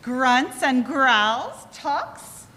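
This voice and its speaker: A woman's voice: high-pitched, expressive, extremely slow, pushed and bright, exaggerated voice. A woman playing a spirited, teasing young wife in a 1940s-style radio play, by turns disapproving and playful. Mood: playful guessing. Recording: reverberant hall, faint noise.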